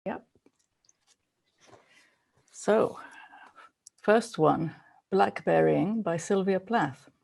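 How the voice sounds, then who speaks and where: A woman's voice: fairly low pitch, drawn-out words, vocal fry, pauses often, a little mellow. A woman giving a poetry recitation as a reader at a poetry event.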